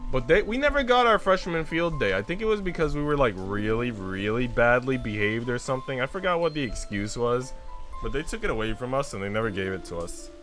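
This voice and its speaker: A man's voice: mid-range voice, animated, fairly quick, a bit bright. A man streaming casual game commentary, speaking in a slangy, incredulous way. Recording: background music.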